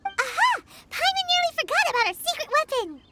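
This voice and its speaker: A tiny floating game sidekick, squeaky and childlike, pitched extremely high. The delivery bounces around eagerly and excitably, over clear background noise. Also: female voice, a little fast. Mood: excited. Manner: refers to self in third person, exclaims eagerly. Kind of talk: video game voice acting.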